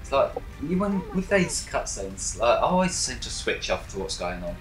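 A man's voice: medium pitch, very animated, moderate pace, roomy sound, over music, rough recording. A man giving casual, sarcastic commentary over a video game, joking with friends. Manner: sarcastic, wry. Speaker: gameplay commentator.

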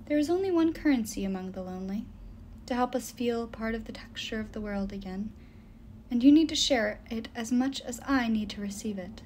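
A woman voicing an ancient river nymph in an audiobook, soft-spoken, formal and measured, with a mysterious, patient sorrow. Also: on the high side, lively intonation, slight background noise, natural voice. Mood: soft, sorrowful. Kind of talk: audiobook character dialogue.

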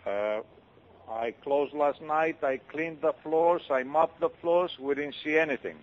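A man's voice: fairly high pitch, unhurried. A man working at a restaurant, answering a phone call in a plain, polite way. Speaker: pizza restaurant worker taking a call. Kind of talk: phone conversation. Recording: dull lo-fi phone line.

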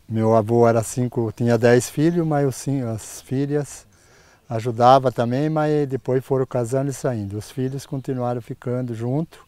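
A man in his sixties, a farmer, answering interview questions outdoors in a plain, halting way. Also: mid-range voice, dark tone, no echo. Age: 60s. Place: outdoors on a farm.

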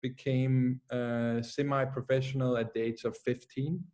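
A young man from Denmark with a Danish accent, a footballer answering interview questions calmly over a video call, pausing often. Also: mid-range voice. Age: young adult. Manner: calm, measured, with many "uh" pauses. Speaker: guest, professional footballer. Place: plain room at home.

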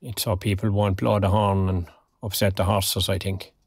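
A man's voice: sits low, level tone, moderate pace, breathy edge, dry recording, clean studio sound. An actor with an Irish Cork accent playing a mild, dignified retired man in a naturalistic audio drama. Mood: mild, matter-of-fact. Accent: Irish, County Cork. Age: older.

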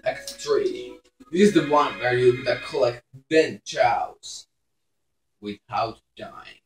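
A man's voice: mid-range voice, takes it slowly. A man giving casual playthrough commentary, hesitant and muttering to himself as he plays. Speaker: gaming YouTuber. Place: indoors, filming a screen with a camera. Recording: echoey room, faint game music, screen filmed.

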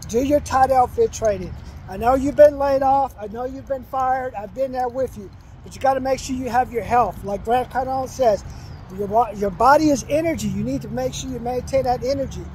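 A man's voice: extremely high pitch, darkish tone, a little hiss or hum, rough recording. A man in his fifties walking outdoors, giving urgent, repetitive advice into a phone at arm's length. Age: 50s. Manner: preachy, repeats himself, urgent advice. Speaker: vlogger. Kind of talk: walking selfie vlog. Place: outdoors by a commercial complex, trees and sky. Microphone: phone held at arm's length while walking.

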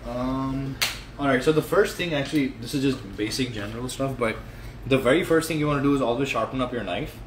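A man's voice: medium pitch, a little fast. A man in his thirties chatting loosely and jokingly while he cooks in his home kitchen, recorded on a nearby phone. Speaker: fitness coach and vlogger. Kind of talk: casual livestream cooking chat. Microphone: phone camera held or propped nearby. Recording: echoey kitchen with clear ambient noise.

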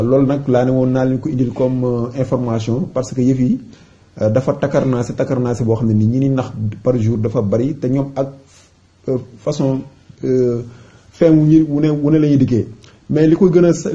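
Dark, muffled Senegalese man explaining. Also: medium pitch, fairly even intonation, fairly quick. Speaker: presenter of an awareness tutorial. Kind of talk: informal explainer voice-over. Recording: dull, muffled lo-fi recording.

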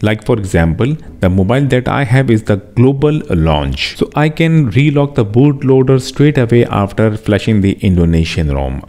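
A man's voice: lowish voice, warm tone. A man narrating a step-by-step tech tutorial as its host, in an instructional way that repeats key steps.

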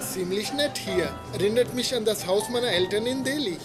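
Man playing cheerful high-voiced young scientist. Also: normal speed, music in the background, a crowd in the background, natural voice. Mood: smug. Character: cheerful, naive young male Indian astrophysicist. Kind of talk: sitcom dub acting.

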